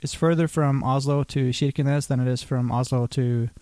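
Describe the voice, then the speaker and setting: A man's voice: mid-range voice, normal speed, no room sound. A man in his thirties from Norway, a native Norwegian speaker, talking casually as a podcast guest with many fillers. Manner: relaxed, hesitant, many fillers. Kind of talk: casual interview talk.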